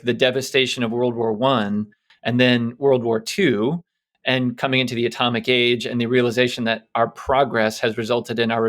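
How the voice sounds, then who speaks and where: A man's voice: medium pitch, fairly bright tone. A man hosting a podcast, talking casually and tentatively as he circles toward his point. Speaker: podcast host. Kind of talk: casual intellectual discussion.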